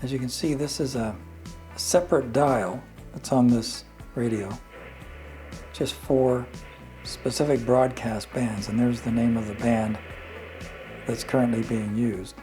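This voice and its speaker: An older man presents a hands-on product walkthrough in a medium-pitched voice. He explains things casually and without hurry, pausing partway through sentences, with faint music in the background. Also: fairly even intonation. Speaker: vintage radio reviewer.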